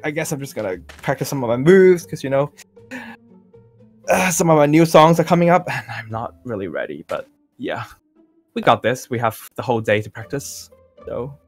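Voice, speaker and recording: A man playing a self-assured young male pop singer in an improvised Minecraft roleplay, talking in a halting, rambling, nonchalant way. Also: medium pitch, very animated. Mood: casual, encouraging. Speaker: roleplay performer. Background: music.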